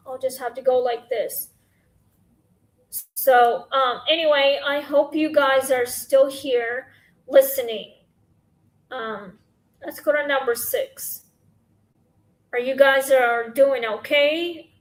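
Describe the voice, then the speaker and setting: A woman with a scratchy voice hosting a casual question-and-answer chat, halting and rambling with many fillers, talking into her phone. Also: high-pitched. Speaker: podcast host.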